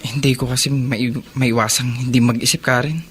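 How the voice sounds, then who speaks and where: A man's voice: medium pitch, flat delivery, moderate pace, a bit bright, slightly rough. An actor playing a stern, worried young man, the responsible older brother, in earnest radio drama style. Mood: troubled, earnest. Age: young adult. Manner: scolding and earnest. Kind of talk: Filipino radio drama acting.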